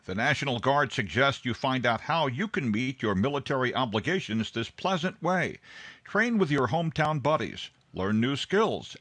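A man's voice: medium pitch, fairly bright tone, breathy edge, no room sound. A man reading a persuasive public service announcement in vintage radio style.

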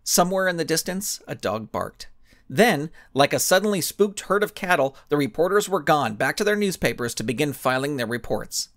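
A man reading his own children's story aloud, narrating with animated storytelling. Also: on the high side, moderate pace. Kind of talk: audiobook narration.

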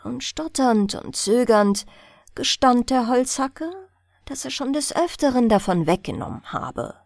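A woman narrating a fairy tale as a bedtime audiobook, in an expressive, unhurried storytelling manner. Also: a little high, gravelly, dry recording, clean studio sound.

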